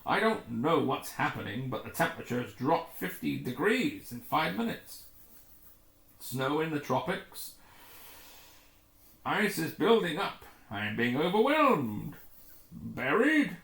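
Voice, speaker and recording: A man's voice: a little high, big pitch swings, a little slow, vocal fry, a bit bright, roomy sound, exaggerated voice. A man voicing a panicky, stammering research scientist in distress in a sci-fi adventure story. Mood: alarmed, desperate. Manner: stammering, spluttering alarm.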